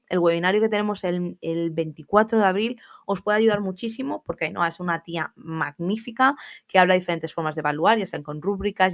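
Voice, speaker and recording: A woman in her thirties from Spain, a teacher hosting a casual solo podcast, chatty and familiar, talking straight to her listeners with asides. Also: fairly low pitch.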